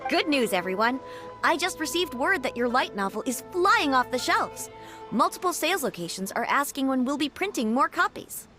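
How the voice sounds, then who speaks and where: Upbeat polite clerk girl, high and lively, game music pretty clear. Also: normal speed, full character voice. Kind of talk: video game voice acting.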